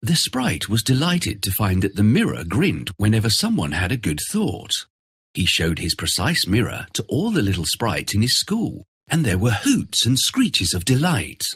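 A man narrates a children's fairy tale with theatrical flair. His voice is low and very raspy, and it swings dramatically in pitch. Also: normal speed, dry recording. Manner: expressive, theatrical storytelling.

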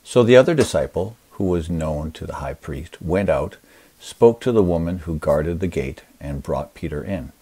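Very deep husky man reading scripture. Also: animated, dark tone. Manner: measured narration.

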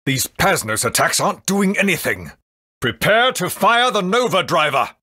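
A man's voice: high-pitched, very animated, moderate pace, sharp tone, slightly rough, no room sound, clean studio sound, full character voice. A man playing a grave, authoritative leader of a fortress in a dramatic video game style. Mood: urgent command. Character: grave, commanding fortress leader, easily flustered.

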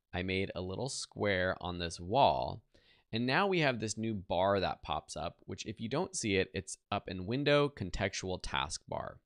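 A man in his thirties giving a casual, easygoing step-by-step software tutorial in a conversational way. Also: deep voice, creaky, no echo, studio quality.

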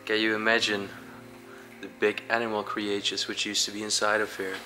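A young man casually exploring a dark abandoned aquarium, curious and describing what he finds, with short laughs. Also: on the low side, monotone, normal speed, soft background music, low-quality recording. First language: Dutch. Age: young adult. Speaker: urban explorer.

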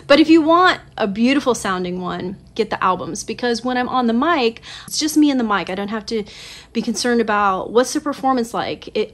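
A woman in her late forties from Southern California, chatting to camera about her songwriting in a casual, animated, self-mocking way, recorded on a phone in a small home studio. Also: fairly high pitch. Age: 40s. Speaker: songwriter vlogger. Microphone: phone camera at desk distance.

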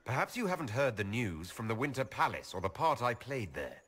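An actor playing an earnest, direct male elf leader, with a husky voice. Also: medium pitch, big pitch swings, fairly quick, fairly bright tone, long reverb tail. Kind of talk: video game voice acting.

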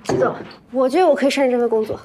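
A woman's voice: high voice, racing, noisy background, close to an everyday voice. An actress in her twenties playing a spirited, eager and stubborn young assistant in a TV romantic comedy drama. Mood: defiant. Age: 20s. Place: office interior, TV drama production.

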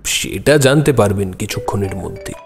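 A man plays a shrewd amateur detective in an audio drama. He is self-assured and speaks briskly with authority, over music. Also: fairly low pitch, expressive, gravelly. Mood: brisk, commanding.

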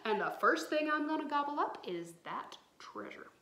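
A woman voicing a greedy, slithery male swamp monster from a storybook, loud and bullying in a folksy way. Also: high voice, big pitch swings, normal speed, voice pushed up, exaggerated voice. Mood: greedy, bossy. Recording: roomy echo, some background noise.

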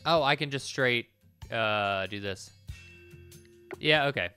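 Guy in his 30s chatting thru a game playthrough, kinda muttering to himself, bright voice, bit of music under it. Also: medium pitch, takes it slowly, clear, resonant tone. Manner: muttering to himself, swears casually. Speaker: gaming video host. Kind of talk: casual let's play commentary. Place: small indoor room at home.

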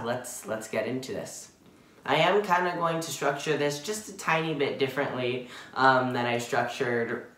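A man's voice: medium pitch, fairly bright tone, recorded from a distance. Teen boy vlogger, casual, rambling with lots of ums, recorded from across an echoey bedroom. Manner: rambling, many ums and self-corrections. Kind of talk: casual ride review. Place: bedroom, indoors. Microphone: camera from a few feet away. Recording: roomy sound from off-mic.

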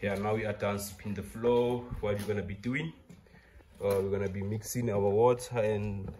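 Chatty South African farmer, low voice. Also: male voice, fairly even intonation, normal speed, mellow, a little hiss or hum. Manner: chatty, joking, talks straight to viewers. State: out of breath from work. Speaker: poultry farmer vlogger. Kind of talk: casual vlog narration. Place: inside a car and a farm shed. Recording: music playing clearly behind.

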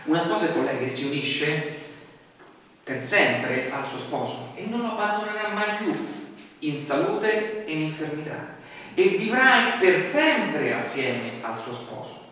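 Male pastor, 40s, chatty sermon delivery, slightly high voice, heard from afar in a very reverberant hall. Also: lively intonation, unhurried, pushed and bright. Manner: conversational preaching with rhetorical questions and frequent fillers. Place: small church hall, indoors. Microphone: distant camera mic. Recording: echoey hall from far off with faint noise.

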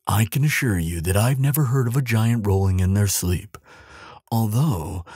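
Man acting a deep, breathy gentle giant. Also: dry recording, clean studio sound, full character voice. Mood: reassuring. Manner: tender, unhurried, hesitant murmuring with soft hums and sighs. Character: gentle, affectionate giant, soothing and earnest. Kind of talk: intimate ASMR audio roleplay.

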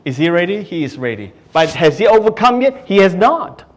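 A middle-aged man preaching at a lectern in a small church, swinging between loud cries and hushed prayer. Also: high voice, very animated, brisk. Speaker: pastor. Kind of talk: sermon. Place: small church hall, indoors. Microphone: stand mic at a lectern.

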